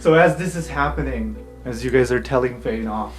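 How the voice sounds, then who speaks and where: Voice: medium pitch, normal speed. A game master casually narrating an improvised tabletop fantasy game, telling players what their characters see. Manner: casual, second-person storytelling. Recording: roomy sound with music behind.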